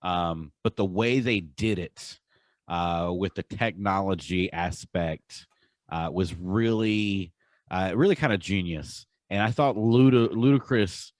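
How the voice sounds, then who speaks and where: A man with a fairly low voice talks casually as a podcast co-host. He is enthusiastic and leans hard on his favorite words. The sound is very dry, with no room around it. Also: takes it slowly, dry recording. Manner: enthusiastic, emphatic.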